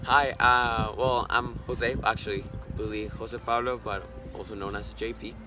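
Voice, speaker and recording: Earnest 18-year-old man over loud music. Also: medium pitch, little pitch movement, moderate pace. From Costa Rica. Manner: earnest, reflective, thinks aloud, says actually a lot. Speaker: interviewee. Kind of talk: personal testimony, word of encouragement.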